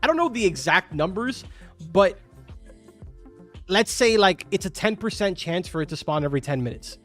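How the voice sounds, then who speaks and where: Young male streamer, Spanish as his first language, slightly high voice, loose and chatty, soft music underneath. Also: very animated, moderate pace. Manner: chatty, filler-heavy, answers chat questions. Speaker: game streamer. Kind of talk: casual live-stream chat with viewers. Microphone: studio microphone. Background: faint game music.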